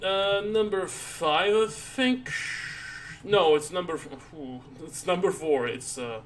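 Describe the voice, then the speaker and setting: A tired man chatting casually on a live stream, rambling and halting with many restarts and fillers. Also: high voice, a little hiss or hum. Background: soft background music.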